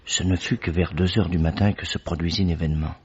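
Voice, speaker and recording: An actor playing Doctor Watson, a loyal companion who narrates in a measured storytelling manner in a radio drama. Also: male voice, low voice, steady tone, a little mellow, breathy edge, lo-fi sound.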